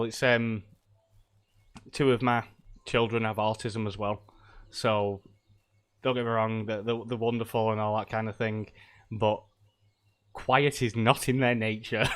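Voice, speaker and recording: A middle-aged man, stuffy from a cold, chatting casually and rambling with lots of ums and laughs while live-streaming a game. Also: lowish voice.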